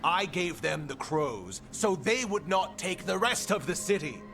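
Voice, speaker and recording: An actor playing a pompous, sardonic official in a video game drama, haughty and self-justifying. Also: male voice, high voice, animated, unhurried, vocal fry, over music, exaggerated voice. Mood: defiant.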